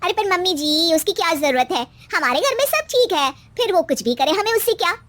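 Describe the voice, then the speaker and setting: A cheeky young daughter-in-law in a cartoon tale, put on by a woman answers back with sass. The voice is very high, lifted above ordinary narration. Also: lively intonation, fairly quick, a bit bright, no echo. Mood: dismissive.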